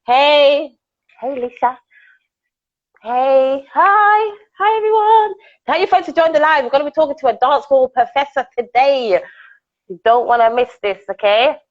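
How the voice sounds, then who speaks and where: A woman hosting a casual live-stream interview, chatty and excitable, greeting viewers by name. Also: high voice, unhurried. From United Kingdom. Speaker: host. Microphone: phone on a live video call. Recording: dull lo-fi live-stream audio.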